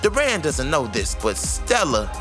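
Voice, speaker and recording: A man playing a formal, theatrical mentor and ceremony commentator in a sci-fi audio drama, with a grand, measured announcer manner. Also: a little high, huge pitch swings, fairly bright tone, faint music behind, full character voice. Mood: showy, reassuring.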